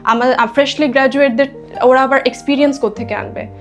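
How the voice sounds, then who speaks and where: High-voiced young Bangladeshi woman in discussion. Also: fairly even intonation, quick pace. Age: 20s. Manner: mixes English phrases into speech, emphatic with tag questions. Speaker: interview guest. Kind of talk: conversational discussion. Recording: music behind the voice.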